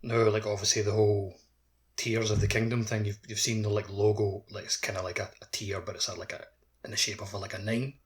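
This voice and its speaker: Even, lowish man chatting loosely. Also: brisk. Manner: filler-heavy, frequent "like". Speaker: podcast co-host. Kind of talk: casual chat.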